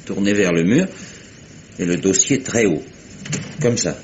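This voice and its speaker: An actor playing a hesitant, servile manor butler in a radio mystery, speaking haltingly and carefully. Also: male voice, sits low, lively intonation, darkish tone, slight background noise. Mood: hesitant, deferential. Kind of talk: radio mystery drama acting.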